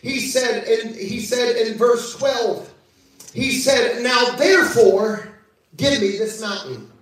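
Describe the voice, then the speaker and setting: A man with a high voice delivers a sermon, reading scripture and calling out to the congregation. His voice rings through a very echoey church, caught from well off the mic. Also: recorded from a distance. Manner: preaching with call-outs and scripture reading. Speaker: preacher. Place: church service.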